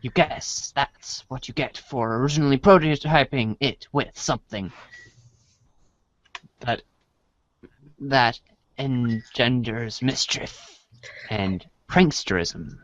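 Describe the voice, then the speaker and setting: A man narrating a read-along storybook in a deliberate, theatrical way. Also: mid-range voice, a bit bright, dry recording. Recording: dry, dull lo-fi audio.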